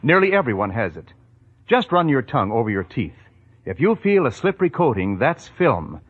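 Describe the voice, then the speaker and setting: Animated male announcer, thin old-radio sound. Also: medium pitch, normal speed, husky, narrow, tinny audio. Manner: emphatic, warning, persuasive. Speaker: commercial announcer. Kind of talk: 1940s radio advertising pitch.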